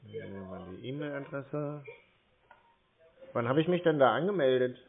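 A man's voice: medium pitch, lively intonation, gravelly, a crowd in the background. Hesitant man stalling on a tinny phone line. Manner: slow, hesitant, stalling with hums and fillers. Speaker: scam-baiter posing as a call target. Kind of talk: drawn-out phone call.

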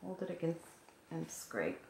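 A woman with a low, gravelly, hoarse voice explains each step of a painting craft in a calm, gentle way. Her voice is recorded at a work table in an echoey room with a faint hiss behind it. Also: a little slow. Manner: calm, gentle instructional talk while working. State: hoarse, froggy voice.

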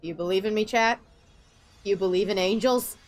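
A woman's voice: medium pitch, a little fast. A woman streaming a game and ranting sarcastically with jokes about the plot. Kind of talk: sarcastic gameplay commentary.

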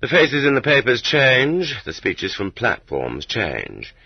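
A man narrating an audiobook in a measured, dramatic way with rhetorical emphasis, on a dull cassette recording. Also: medium pitch, wildly swooping pitch, gravelly, slightly rough, no room sound.

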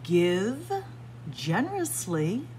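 A woman's voice: medium pitch, very animated, drawn-out words, a little mellow, slight background noise. A middle-aged woman librarian reading a picture book aloud to children, word by word, with teacherly emphasis, recorded close on a webcam in a small library room. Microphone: webcam or phone close up at arm's length.

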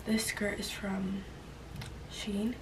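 A woman's voice: medium pitch, little pitch movement, extremely slow. A girl in her early teens chatting casually to camera in a daily vlog, rambling and often trailing off. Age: young teenager, about 13. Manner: rambling, trailing off with "but yeah". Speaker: vlogger. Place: bedroom at home, sometimes inside a car. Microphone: camera held at arm's length. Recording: clear background noise, handheld camera audio.

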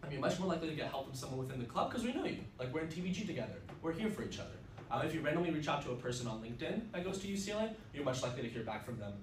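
A man's voice: on the high side, sing-song, racing, hardly any pauses. A young man whose first language is Russian gives a casual, upbeat student club presentation, full of fillers, recorded from across a classroom. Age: young adult. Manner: upbeat, chatty, many fillers. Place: classroom, indoors, mid-sized. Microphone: far camera. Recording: roomy, distant, faint ambient noise.

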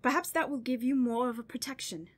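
Occult doctor, female actress, radio horror: fairly high voice, grave, commanding, deliberate delivery. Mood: worried, grave. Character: grave, commanding woman doctor who studies evil spirits. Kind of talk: radio horror drama acting.

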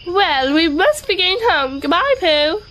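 Woman doing a kangaroo mum, super high, slow talking, doting but brushes you off, bit of background noise. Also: very animated, exaggerated voice. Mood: distracted, motherly. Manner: motherly, unhurried, politely dismissive. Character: brisk, doting mother kangaroo, politely uninterested. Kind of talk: children's storybook audio drama.

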